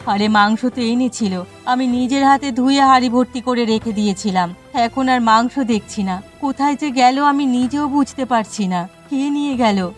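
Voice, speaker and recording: A woman's voice: fairly high pitch, moderate pace, few pauses, faint music behind, close to an everyday voice. A woman voicing an aggrieved village wife who runs a food stall in a cartoon, plaintive and exasperated. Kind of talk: cartoon dialogue acting.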